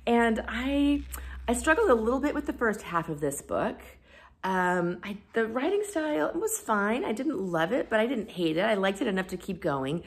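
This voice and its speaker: A woman's voice: fairly high pitch, very animated, moderate pace. A woman talking casually as a book reviewer, with an enthusiastic, effusive and informal manner. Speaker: book reviewer and video host. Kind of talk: casual chat to camera about books.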